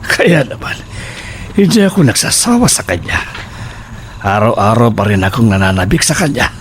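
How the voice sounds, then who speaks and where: A man's voice: on the low side, very animated, moderate pace, slight background noise. A man playing a lecherous, cheating husband in a melodramatic audio horror drama, sneering and making stammered excuses. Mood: gloating.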